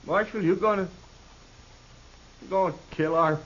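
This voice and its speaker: A young man, gruff and strained with pain. He speaks in old drama style on a muffled recording with faint hiss. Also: high voice, a little slow, fairly bright tone. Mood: pained, worried. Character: wounded, worried farmer's son, gruff older brother. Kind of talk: 1950s radio western acting. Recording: muffled, hissy vintage radio recording.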